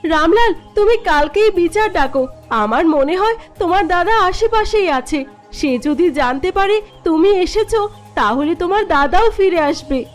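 Casting: woman as anxious cartoon village wife, extremely high voice, plaintive delivery, music underneath. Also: lively intonation, a little slow. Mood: urging. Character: distraught, devoted village wife fretting over her missing husband. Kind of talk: Bengali fairy tale cartoon dubbing.

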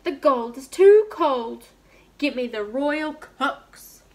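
A woman's voice: sits high, animated, slow pace. A woman doing a fussy storybook king. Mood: demanding. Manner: loud demanding cries. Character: fussy cold-footed storybook king, man.

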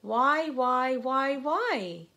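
A woman's voice: high-pitched, lively intonation, very slow, pushed and bright, voice pushed up, exaggerated voice. A woman voicing a friendly, curious bear in a children's picture book, emphatic and singsong as it calls out. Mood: curious, insistent. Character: friendly, curious bear calling out to a hidden stranger. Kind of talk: picture-book read-aloud for young children.

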